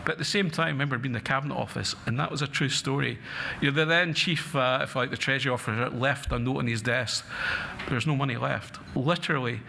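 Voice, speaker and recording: A man's voice: mid-range voice, quick pace, gravelly, pushed and bright, slight background noise. A middle-aged man with a Scottish accent giving an earnest conference talk from a lectern, with frequent fillers and restarts. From Scotland. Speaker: conference speaker. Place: conference hall. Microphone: lectern mic.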